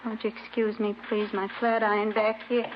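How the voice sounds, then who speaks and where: A woman's voice: on the high side, little pitch movement, normal speed, lightly acted. A woman playing a weary, resigned older American mother who runs a laundry, in a 1950s radio drama. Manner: plain, resigned. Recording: muffled, noisy old radio recording.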